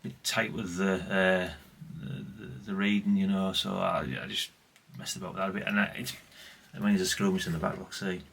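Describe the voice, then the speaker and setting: A man's voice: low-pitched, flat delivery, a little fast, creaky, few pauses, fairly bright tone, husky, low-quality recording. A man, chatting casually and ramblingly as he presents old radio gear in a vlog. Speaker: vlogger showing vintage CB radios. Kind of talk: casual, unscripted chat.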